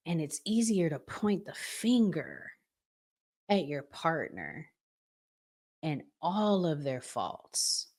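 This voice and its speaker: Low-pitched, slow, expressive woman host. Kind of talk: relationship commentary vlog.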